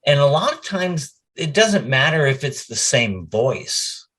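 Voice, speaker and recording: A man's voice: a little high, big pitch swings, a little slow, a bit bright. A middle-aged man, a voice actor, telling anecdotes casually in an interview and acting out bits of quoted speech as he goes.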